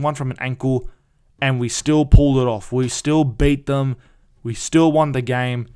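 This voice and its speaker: Eager young man, smooth voice. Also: medium pitch. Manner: excited, rambling, frequent restarts and fillers. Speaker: fan podcast host. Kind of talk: casual solo podcast review.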